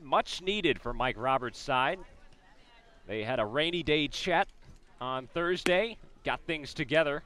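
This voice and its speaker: A man with an American accent gives live radio play-by-play of a baseball game. His voice sits a bit high and has a bright, forceful edge. He describes the action pitch by pitch, filling in detail on the players and the field.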